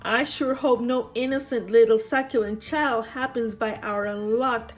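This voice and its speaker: A woman's voice: fairly high pitch, steady tone, unhurried, soft background music, lightly acted. A sly, hungry mother dinosaur, read by a woman.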